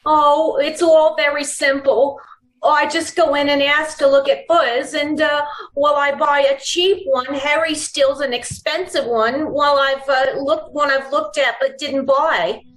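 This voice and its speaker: A woman's voice: high voice, steady tone, measured pace, fairly bright tone. A woman playing an anxious, hesitant young woman in trouble, in the style of a 1940s radio drama. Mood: earnest, pleading. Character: anxious, pleading young woman mixed up with crooks. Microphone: video call. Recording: echoey online-call recording.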